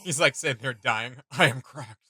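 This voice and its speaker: A man chatting casually as host of a reaction video, in an animated, enthusiastic manner. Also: mid-range voice, normal speed, slightly rough, dry recording, studio quality. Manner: animated, enthusiastic, laughs readily.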